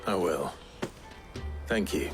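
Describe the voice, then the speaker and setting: An actor playing a taciturn, courteous, brooding male adventurer in a fantasy game, with a deep, gravelly voice and terse, reserved delivery. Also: lively intonation, slow. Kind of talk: scripted fantasy game voice acting. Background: prominent background music.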